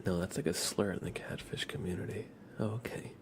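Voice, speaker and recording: A man hosting an ASMR livestream, chatting playfully and softly with viewers, often slipping into whispers and rambling as he goes. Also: low-pitched, fairly even intonation, brisk, a little hiss or hum. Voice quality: soft, often breaking into whispers. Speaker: livestream host. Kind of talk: ASMR livestream chat, reading and answering viewer comments.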